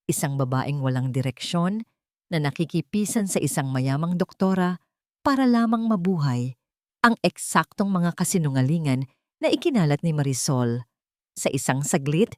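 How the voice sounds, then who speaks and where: A female narrator tells a dramatic story in measured phrases. Her voice sits a little low and moves expressively, building suspense. The sound is dry and close. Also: a little mellow, no room sound.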